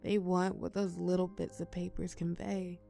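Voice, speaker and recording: A woman's voice: lowish voice, level tone, moderate pace, creaky, mellow, faint music behind. A young woman playing a shy, tender college girl confiding in a close friend, hesitant yet playful, in an intimate audio roleplay. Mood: tender, reflective. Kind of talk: intimate scripted audio roleplay, one side of a conversation.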